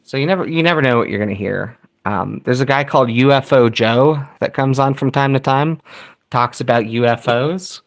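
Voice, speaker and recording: A man casually chatting while tuning a shortwave radio, reading viewer comments and thinking aloud in a relaxed, rambling way. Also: medium pitch, moderate pace.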